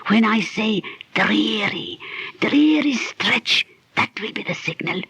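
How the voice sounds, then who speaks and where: A woman's voice: medium pitch, animated, creaky, a bit bright, slightly rough, no room sound, heavily acted. Sly old witch, swooping voice. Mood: conspiratorial, sly. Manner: theatrical, drawn-out, cackling. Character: sly old witch-like woman, scheming kidnapper, falsely sweet. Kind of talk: 1930s radio drama acting. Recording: old 1930s radio recording.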